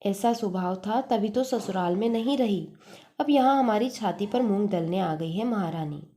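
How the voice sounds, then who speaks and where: A venomous household aunt, acted out by a woman storyteller, sarcastic and taunting, with a soft-edged, mellow tone at a middle pitch. She scolds with scorn, as in a family storybook tale. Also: moderate pace, natural voice. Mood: scornful, taunting. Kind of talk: Hindi storybook narration.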